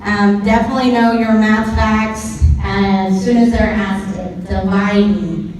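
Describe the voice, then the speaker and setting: A woman's voice: mid-range voice, fairly even intonation, unhurried. Woman, teacher addressing parents, projecting and informal, heard distantly with heavy echo. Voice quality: loud, projecting voice. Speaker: middle school math teacher. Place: large echoing indoor room with ambient noise. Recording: echoey hall from far off, faint noise.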